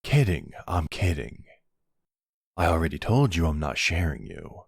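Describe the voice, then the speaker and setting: A man playing a flirty, cocky vampire with a gravelly, husky voice, teasing the listener in an intimate ASMR roleplay. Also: sits low, very animated, unhurried, no room sound, studio quality, heavily acted. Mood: mocking, flirtatious. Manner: teasing, sardonic drawl.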